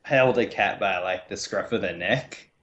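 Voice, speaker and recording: A man co-hosting a casual gaming podcast, playful and quick to laugh, who steers the group back to the topics. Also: muffled recording. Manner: playful, laughs a lot, jumps pitch up when excited. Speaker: podcast co-host. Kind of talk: casual chat.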